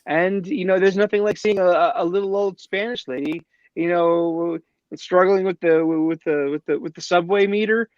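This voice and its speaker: A man with a fairly high voice, joining as a guest over a video call, feeling his way through each thought with restarts and fillers. The sound is dry and a little dull, the lo-fi feel of call audio. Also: fairly even intonation, clear, resonant tone, no room sound. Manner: halting, many restarts and fillers. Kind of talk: casual chat on a livestream.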